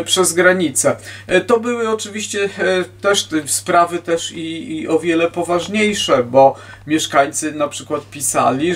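Male lecturer, 50s, slightly high register, hesitant informal delivery with false starts, miked from a distance indoors. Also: fairly bright tone. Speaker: historian giving a lecture. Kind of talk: informal history lecture to camera. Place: book-lined room at home, indoors. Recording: distant microphone in a room.

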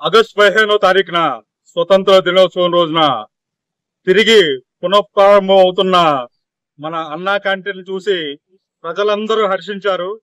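A middle-aged man, a local party leader, speaks emphatically into press microphones outdoors, pausing on drawn-out fillers. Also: sits high, fairly even intonation, fairly bright tone, clear, resonant tone, no echo. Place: outdoors on a street. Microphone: handheld press microphones.

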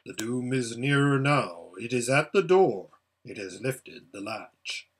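A man voicing an immense wise lion who speaks quietly and solemnly. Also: medium pitch, expressive, slow, breathy edge, pitched below the performer's own voice. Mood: warning.

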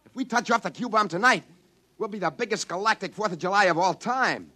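Frantic test pilot, 1950s American radio drama. High, bright, forceful, pleading. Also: male voice, brisk, heavily acted. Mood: desperate pleading. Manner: urgent, pleading, insistent. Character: desperate test pilot warning of doom. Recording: old 1950s radio broadcast.

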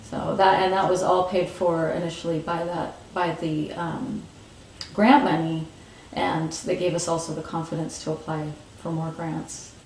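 A woman, answering interview questions thoughtfully with pauses and restarts, seated in a room with bookshelves. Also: deep voice, little pitch movement, a little mellow, echoey room, recorded from a distance, slight background noise, rough recording. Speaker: market organizer.

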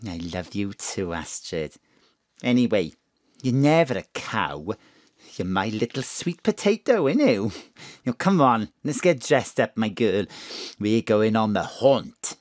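Doting welsh mum, middle aged, low voice that swoops about, bit daffy, fussing. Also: female voice, big pitch swings, unhurried, darkish tone, dry recording, studio quality, heavily acted. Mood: affectionate. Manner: fussing endearments and tag questions.

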